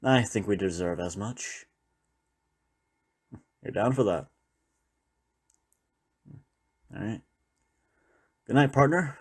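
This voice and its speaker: Man acting as low-voiced, weary apocalypse survivor. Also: expressive, unhurried, husky, exaggerated voice. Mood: warm, hopeful. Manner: reflective, rambling, conversational. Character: weary male former doctor surviving a zombie apocalypse, loyal partner. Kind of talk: intimate audio roleplay, one-sided dialogue.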